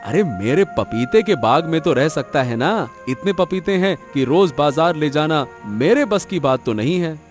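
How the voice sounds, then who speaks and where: A high-voiced, easygoing farmer husband from a cartoon moral story, acted by a man. He is sentimental and good-hearted, exclaiming expressively over faint background music. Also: expressive, measured pace, few pauses.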